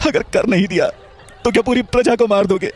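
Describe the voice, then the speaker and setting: A man's voice: high voice, brisk, darkish tone, voice pushed up. A man voicing a desperate, grieving mother with a tearful, wailing delivery in a dramatized story. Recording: background music.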